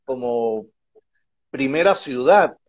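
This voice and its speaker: A man's voice: medium pitch, lively intonation, takes it slowly, muffled recording. A man hosting a live video interview, agreeable and often chiming in to agree. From Panama. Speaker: host. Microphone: video call.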